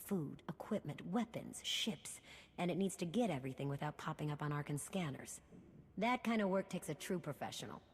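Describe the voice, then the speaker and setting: A brash underworld woman, with a slightly low voice and a wry, informal delivery. She sounds cocky and streetwise, in a reverberant space with faint background noise. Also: animated, brisk. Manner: sardonic, cocky, folksy, drawling informality. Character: brash, wry female smuggler running an underworld supply chain. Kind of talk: scripted video game dialogue. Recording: reverberant hall with background hum.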